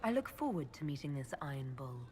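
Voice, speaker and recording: An actress playing a composed, earnest female agent and heroine in a video game, calm and direct. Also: very deep voice, brisk, a little hiss or hum. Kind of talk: dramatic video game acting.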